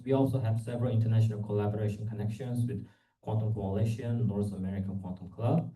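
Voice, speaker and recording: Young male student presenter, very mellow tone, informal and halting, picked up from afar in a roomy space. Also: lowish voice. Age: young adult. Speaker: student club executive presenting at a conference. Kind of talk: conference presentation. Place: indoor conference room. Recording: echoey room, distant microphone.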